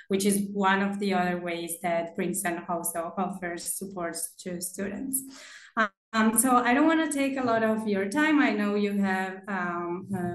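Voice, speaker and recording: Young woman recent grad, chatty and warm, office call, echoey. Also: mid-range voice. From Argentina, grew up in Brazil. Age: young adult. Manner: warm, encouraging, with fillers. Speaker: recent alumna speaker. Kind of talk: webinar talk. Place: small office indoors. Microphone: laptop on video call. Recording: echoey room on a video call.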